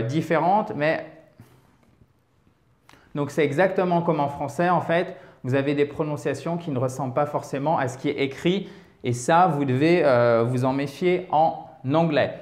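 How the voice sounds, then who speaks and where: A French man teaching English online, his voice sitting a little higher than most men's. He talks in a chatty way, walking through examples one step at a time. He keeps checking in with quick little "ok?" asides. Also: darkish tone, reverberant. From France. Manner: chatty, checks in often with "ok?" and "d'accord?". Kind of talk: online language lesson.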